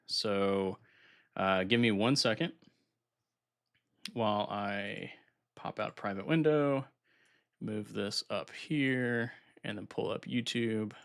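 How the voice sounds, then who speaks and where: A man with a lowish, gravelly voice chats casually on a live stream, sounding a bit nasal and congested from a recent illness. He muses aloud while he sets things up at his desk, in a relaxed, unhurried way. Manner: relaxed, thinking aloud while setting up software. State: recovering from illness, slightly congested.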